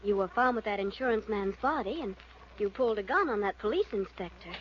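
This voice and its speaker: A woman's voice: medium pitch, lively intonation, fairly quick, natural voice. An actress playing a guarded, defiant woman in a 1940s radio drama. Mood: guarded, curt. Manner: cool, curt. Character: guarded, defiant woman, curtly refusing help. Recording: muffled vintage radio recording, clear background noise.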